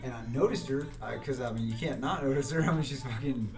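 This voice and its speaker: A man's voice: medium pitch, fast, a little mellow, roomy sound, recorded from a distance. A man telling a long, rambling story on a podcast, casual and full of swearing. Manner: rambling storytelling with frequent profanity. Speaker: podcast guest. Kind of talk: casual chat.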